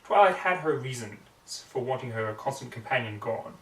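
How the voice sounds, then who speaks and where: A man's voice: mid-range voice, expressive, moderate pace, a bit bright, recorded from a distance. A man reading a story aloud as narrator in a hammy, theatrical style. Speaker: amateur story reader. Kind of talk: hammy fan fiction narration. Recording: echoey room, off the mic.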